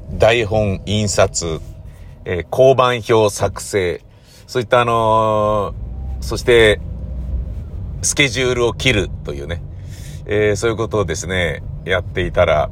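A man hosting a casual solo podcast, talking in halting, drawn-out phrases that keep checking for agreement. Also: lowish voice, a bit bright, a little hiss or hum. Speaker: host of a regular podcast, radio drama director.

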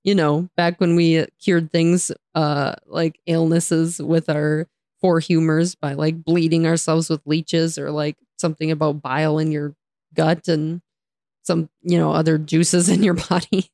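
A young woman co-hosting a casual chat, chatty and laughing often, her voice a little raspy from talking all day. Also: low-pitched, little pitch movement, a little slow, warm tone, dry recording, clean studio sound. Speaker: podcast co-host.

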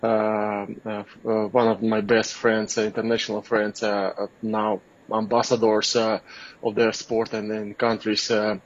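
A man with a Russian accent speaks in a fairly low voice as an interview guest. He is hesitant, often pausing to find the next word. The sound is slightly dull and lo-fi. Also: monotone. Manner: halting, hesitant, searching for words. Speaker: guest, kettlebell athlete and coach. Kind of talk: interview answers, casual talk.

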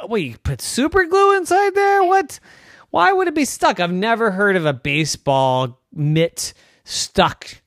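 A storyteller putting on a loud, impatient baseball coach who barks orders and disbelieving questions. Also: medium pitch, lively intonation, takes it slowly, dry recording, clean studio sound, pitched above the performer's own voice. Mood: exasperated. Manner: loud, barking, incredulous. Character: gruff impatient adult male baseball coach.

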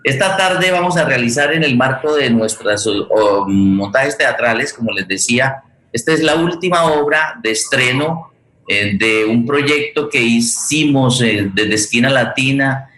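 A Colombian man hosting a forum in a halting, conversational way, searching for words, in an echoey room. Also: medium pitch, pushed and bright. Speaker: host, theatre director and playwright.

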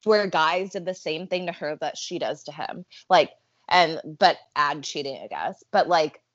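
A woman in casual chat, talking fast and loose with lots of filler words. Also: deep voice, dry recording, lo-fi sound. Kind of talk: casual chat about a reality show.

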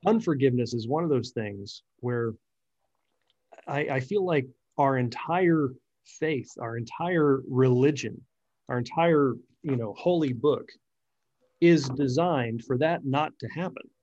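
A man's voice: mid-range voice, unhurried, darkish tone. A man hosting a podcast and speaking as a pastor, reflecting aloud with some restarts. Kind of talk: conversational podcast interview. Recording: dull, muffled sound.

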